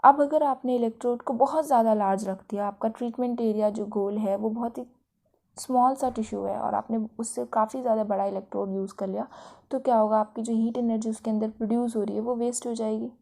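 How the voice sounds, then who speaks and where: A woman's voice: fairly high pitch, fairly even intonation, fairly quick, gravelly, a little mellow. A woman with an Indian accent giving an explanatory lecture in a didactic way, often checking that listeners follow. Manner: didactic, with frequent check-in tags. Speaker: physiotherapy lecturer.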